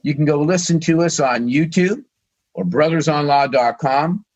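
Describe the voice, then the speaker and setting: A man's voice: fairly high pitch, moderate pace, a little mellow. A man co-hosting a radio talk show, losing his voice and talking hesitantly with fillers. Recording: dull lo-fi sound.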